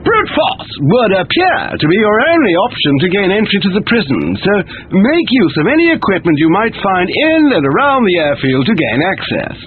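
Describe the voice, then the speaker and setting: A man's voice: high voice, wildly swooping pitch, normal speed, few pauses. A man playing a military commander giving a formal, emphatic mission briefing in a game through a radio filter. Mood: instructive. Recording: thin phone-like radio sound, faint noise.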